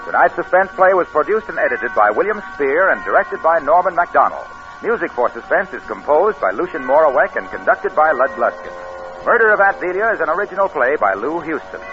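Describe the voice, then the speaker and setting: Animated American old-time radio announcer, male. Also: on the high side, a little fast, music in the background. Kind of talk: scripted 1940s radio commercial and announcing. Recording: dull old radio recording.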